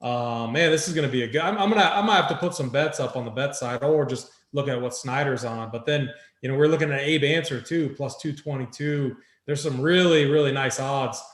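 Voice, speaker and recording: A man's voice: medium pitch, brisk, hardly any pauses. A man hosting a sports betting show, talking through picks in an informal, conversational way. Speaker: host of a fantasy golf picks show. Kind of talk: casual sports betting talk. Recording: roomy echo.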